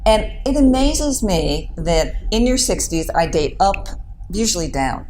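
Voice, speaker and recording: A woman's voice: mid-range voice, very animated, a little slow, a little mellow, slight background noise, rough recording. A woman in her sixties, a guest on a live panel, talking candidly and with self-deprecating humor. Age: 60s.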